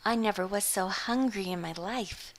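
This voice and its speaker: A woman's voice: medium pitch, moderate pace, close to an everyday voice. A woman doing a gushing young woman. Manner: gushing, dreamy. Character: young woman, romantic and excitable.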